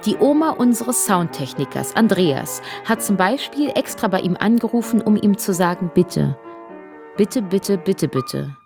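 A woman's voice: medium pitch, big pitch swings, measured pace, gravelly, over music. A young woman telling her family history in a chatty, rambling way, often correcting herself. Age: young adult. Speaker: performer narrating her family story. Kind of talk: theatrical monologue.

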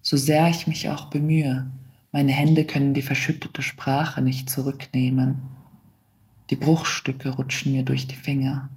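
A man slowly reading a reflective first-person text. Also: medium pitch, flat delivery, rough recording.

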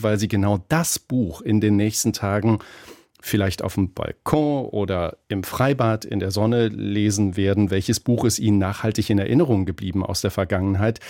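Man, talk radio host, relaxed dark timbre, conversational, dry studio sound. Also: fairly low pitch, dry recording.

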